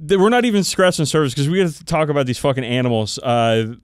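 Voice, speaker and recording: A man's voice: on the high side, lively intonation, fast talker, clear, resonant tone, no room sound. A man co-hosting a podcast, chatting casually and enthusiastically about tabletop game lore with plenty of swearing. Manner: profane, enthusiastic, informal. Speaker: podcast co-host.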